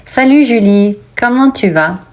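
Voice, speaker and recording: A woman's voice: on the high side, lively intonation, a little mellow, pitched above the performer's own voice. Caring friend , voiced by a woman. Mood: concerned. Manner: slow, careful textbook dialogue reading. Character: caring female friend asking what's wrong.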